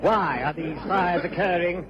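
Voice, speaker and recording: High-voiced gentleman chairing a meeting. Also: unhurried. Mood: formal, concerned. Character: middle-aged civic-minded gentleman chairing a heated meeting. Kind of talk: radio drama public speech. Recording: muffled radio recording, loud crowd.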